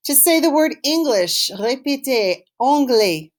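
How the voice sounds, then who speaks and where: A woman's voice: high voice, expressive, measured pace. A woman teaching a language class, encouraging and instructive, carefully enunciating phrases for learners to repeat. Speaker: French teacher. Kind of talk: language lesson with call-and-repeat.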